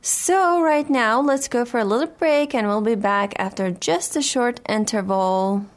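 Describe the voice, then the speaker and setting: A woman's voice: a little high, a little slow. A woman hosting a radio talk show, speaking carefully and steadily with hesitant fillers, leading the discussion.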